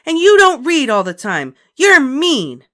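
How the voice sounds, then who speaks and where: A woman voicing a stubborn, sulky little girl in a high, shrill child voice. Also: wildly swooping pitch, moderate pace, fairly bright tone, heavily acted. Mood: shouting.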